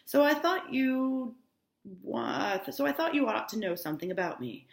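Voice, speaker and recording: Woman voicing a genteel, self-assured young gentleman. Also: fairly high pitch, very animated, moderate pace, creaky, reverberant, voice pushed up. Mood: confiding, self-assured.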